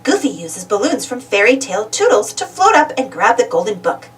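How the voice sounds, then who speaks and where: A woman reading a children's storybook aloud as an animated narrator. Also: fairly high pitch, brisk. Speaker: storybook reader. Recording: distant mic, music behind.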